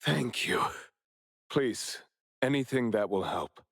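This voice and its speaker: An actor playing a distraught, worried father in a video game, speaking in a halting, pleading way. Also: medium pitch, lively intonation, gravelly, dry recording, studio quality, heavily acted. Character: distraught, anxious father of a missing son.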